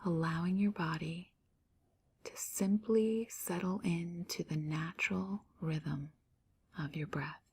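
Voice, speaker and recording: A woman leading a guided meditation, her voice soft and breathy, almost a whisper. She speaks very slowly and calmly, leaving gentle pauses between phrases, in a slightly low register. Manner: slow, calm, gentle pauses.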